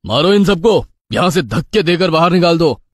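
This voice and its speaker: A man's voice: a little high, big pitch swings, normal speed, dry recording, studio quality. Menacing, swaggering village moneylender villain played by a man. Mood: commanding. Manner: threatening, commanding, scornful. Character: cruel, bullying village moneylender boss, menacing. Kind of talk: Hindi cartoon villain acting.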